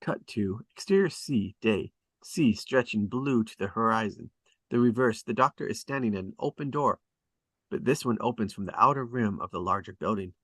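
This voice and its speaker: A man reading stage directions aloud at a script table read, in a deliberate, descriptive way. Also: fairly low pitch, a bit bright, no echo.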